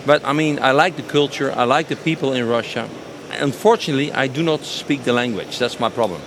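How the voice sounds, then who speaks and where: A man in a mid-pitched voice. He talks spontaneously and enthusiastically, often repeating a phrase for emphasis. The recording has obvious background noise, as on a busy exhibition floor. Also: brisk. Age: 50s. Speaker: company sales director being interviewed. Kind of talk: spontaneous interview answers. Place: trade show stand.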